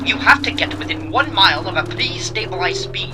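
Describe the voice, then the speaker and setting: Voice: mid-range voice, lively intonation, a little fast, very noisy, close to an everyday voice. A performer voicing a pedantic artificial-intelligence helper in a comic dub, precise and lecturing with technical language. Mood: brisk, instructive.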